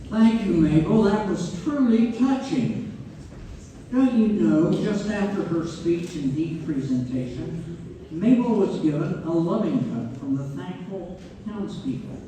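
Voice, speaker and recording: A man's voice: sits high, expressive, unhurried, dark tone. A man playing a genial narrator, presenting scripted local history as host of a theatrical read-through. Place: theater hall, live staged reading. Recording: echoey hall from far off, faint noise.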